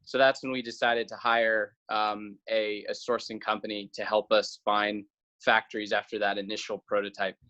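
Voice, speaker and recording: A man's voice: lowish voice, flat delivery, normal speed, bright, forceful tone, lo-fi sound. A man from South Carolina giving conversational interview answers as a guest, a little hesitant and repeating words. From South Carolina, United States. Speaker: interview guest, company founder.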